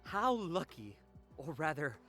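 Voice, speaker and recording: A man's voice: a little high, big pitch swings, slow pace, fairly bright tone, faint music behind, heavily acted. A man playing a cold, imperious young man, haughty and theatrical in his contempt, in a visual novel. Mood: gloating, bitter.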